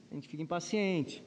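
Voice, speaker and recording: A man's voice: fairly high pitch, a little mellow, muffled recording. A man with a Brazilian accent giving an informal, conversational Bible lesson to a congregation, often turning to them with questions and rhetorical tags. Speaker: Sunday school teacher at a church. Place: large church room, speaking to a congregation. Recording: reverberant hall with long echo.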